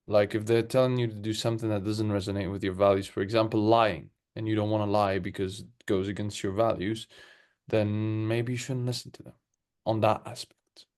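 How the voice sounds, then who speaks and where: A young man with a lowish voice and a put-on British-sounding accent talks through advice in a relaxed podcast chat. He is heard over a webcam from a room at home. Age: young adult. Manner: playful, then earnest advice-giving. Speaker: podcast co-host. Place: room at home, seated in gaming chair. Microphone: video call webcam.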